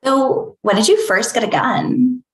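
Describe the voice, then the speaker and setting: A woman's voice: fairly high pitch, big pitch swings, a little slow. A woman playing a flirty, inquisitive researcher in a comedy table read, playful and probing in her questions. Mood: curious, flirty. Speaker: actor in a screenplay table read. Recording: echoey room sound.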